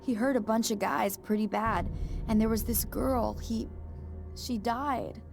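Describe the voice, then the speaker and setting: A woman's voice: fairly high pitch, steady tone, measured pace, vocal fry. An actress playing a nervous young woman who works at a motel, hesitant and stammering, in a video game. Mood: uneasy. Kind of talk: video game cutscene acting. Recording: game audio over music.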